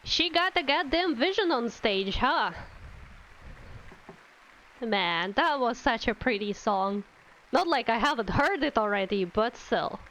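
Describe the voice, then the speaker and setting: A woman's voice: on the high side, animated, a little slow, fairly bright tone, a little hiss or hum, low-quality recording. A woman streaming a game, giving casual, wry, mildly sweary commentary. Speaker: VTuber streamer.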